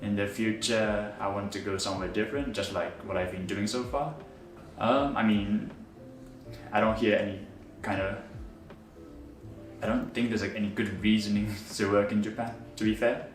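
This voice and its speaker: A young man, a student, casually answering interview questions in a relaxed, rambling way with many fillers. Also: fairly low pitch, moderate pace, soft background music. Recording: roomy, distant mic with faint noise.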